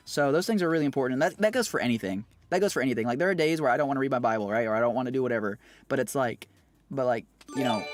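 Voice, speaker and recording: A man chatting casually with his livestream viewers, rambling and animated, with lots of fillers and quick laughs. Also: medium pitch, no echo. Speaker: streamer and singer.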